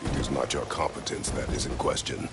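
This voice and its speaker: An actor playing a stern, guarded warrior father in a video game, speaking in terse, formal, measured sentences with a deep gravelly voice. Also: level tone, big-room sound, very noisy. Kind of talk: video game dramatic acting.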